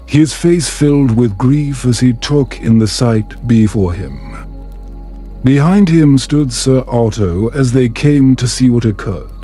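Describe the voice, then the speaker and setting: A man narrating a calm bedtime story in a measured, soothing storybook manner. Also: medium pitch.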